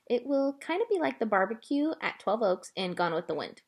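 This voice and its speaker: An earnest young girl from a children's storybook, acted by a woman, wistful yet eager. Her speech is expressive and pleading, swinging widely in pitch. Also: a little fast. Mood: polite, excited. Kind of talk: children's audiobook character voice.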